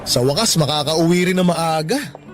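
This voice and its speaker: A man's voice: a little high, moderate pace, loud music behind the voice, lightly acted. A man with a Filipino accent playing a protective, frank young office worker in a radio drama, informal and insistent. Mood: relaxed, friendly. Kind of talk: Tagalog radio drama acting.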